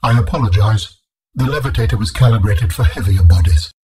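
An ancient alien of great calm, in a game. The voice is low and mellow, with a very husky grain. Every phrase is formal, grave and measured. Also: male voice, soft background music. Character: ancient alien architect being, male, solemn and calm. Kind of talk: video game voice acting.

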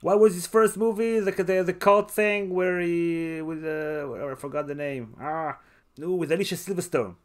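A man hosting a podcast in casual chat, haltingly searching for words and repeating short phrases. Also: a little high. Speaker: podcast host.

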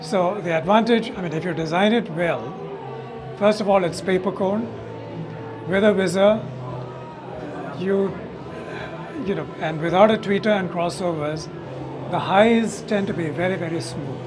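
Man, 60s, high voice, halting technical explainer in interview, music behind. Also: poor audio. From India. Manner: halting, explanatory, tags statements with "okay". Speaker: loudspeaker company owner and designer, interviewee. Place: hotel corridor at an audio show. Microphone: lapel mic.

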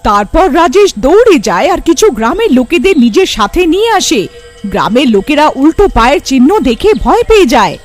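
Very high-pitched woman narrating a story. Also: very animated, quick pace, faint music behind. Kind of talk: scripted cartoon horror story narration.

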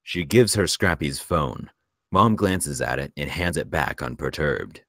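A man narrates a screenplay in a very deep voice. He reads out scene and action directions as scripted narration. The sound is dry, with little room. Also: unhurried, vocal fry, fairly bright tone, no room sound. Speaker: narrator reading stage directions.

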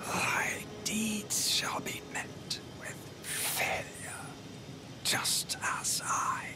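An actor playing an aged, cursed demigod king in a video game, bitter and proud, with a breathy rasp and grandiose archaic delivery. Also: in a whisper. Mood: weary, bitter. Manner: slow, archaic, grandiose pronouncements. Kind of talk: dramatic video game villain acting. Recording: game audio under loud orchestral music. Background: loud dramatic music.

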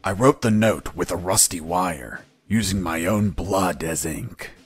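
An actor playing a cocky captured commando pilot in a video game, telling his story in a deadpan, wry way. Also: sits low, a little slow, very noisy. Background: background music.

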